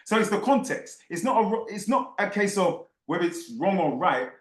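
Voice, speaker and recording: A man's voice: high voice, animated, normal speed, reverberant. A man hosting a live online group discussion, speaking emphatically and insistently and repeating phrases for effect. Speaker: host of an online book club discussion.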